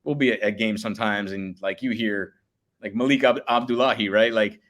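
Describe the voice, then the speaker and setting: A man in his thirties talks in a relaxed, easy way, and his voice has a big booming announcer quality. His voice sits fairly low. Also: a little fast. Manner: booming voice, slips into announcer calls. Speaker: public address announcer. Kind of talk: casual interview chat.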